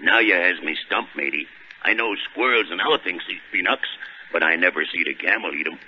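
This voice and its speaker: An actor playing a gruff, good-hearted sailor with a gravelly voice and salty, ungrammatical talk in 1930s radio comedy. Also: male voice, deep voice, fairly quick. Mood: scoffing. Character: gruff, good-hearted, muscle-bound old-time sailor man. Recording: muffled old 1930s radio recording.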